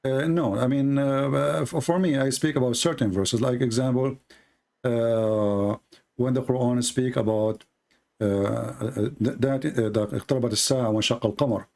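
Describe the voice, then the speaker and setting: A gravelly man hosts a call-in show. He rambles on, filling the gaps with lots of uh and um. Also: mid-range voice, steady tone, a little fast, darkish tone. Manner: rambling, with many fillers and restarts. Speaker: host of a call-in debate show. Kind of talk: casual call-in talk.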